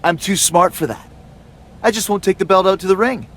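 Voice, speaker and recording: Smug, higher-voiced wrestling villain. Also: male voice, a little fast, fairly bright tone. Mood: scheming. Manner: sarcastic, self-important. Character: smug, scheming, defensive male wrestling villain. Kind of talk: scripted video game cutscene acting.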